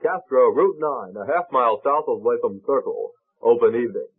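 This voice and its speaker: A man's voice: mid-range voice, expressive, moderate pace, husky. A man working as a local radio announcer, reading a scripted mid-century commercial. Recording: narrow muffled old radio broadcast.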